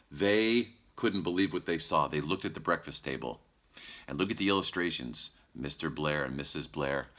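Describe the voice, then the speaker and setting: Male storytime reader: low, forceful, lively, playful, speaking straight to children. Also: a little hiss or hum. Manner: playful, addresses young listeners directly. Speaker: news anchor reading a children's story. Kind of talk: animated storytime read-aloud with asides to children.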